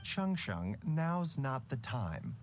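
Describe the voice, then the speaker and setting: A man playing a gentle, composed doctor in a video game, speaking politely and formally. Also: medium pitch, sing-song, slow pace. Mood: gentle, courteous. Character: gentle, courteous, composed adult male doctor. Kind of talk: scripted video game dialogue. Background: game music.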